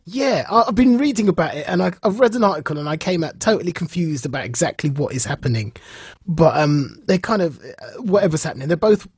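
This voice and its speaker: Guy on a podcast, really husky breathy voice, animated, repeats himself, dry close sound. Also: on the high side, fast talker, dry recording. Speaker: podcast co-host. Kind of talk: casual chat about comics and film news.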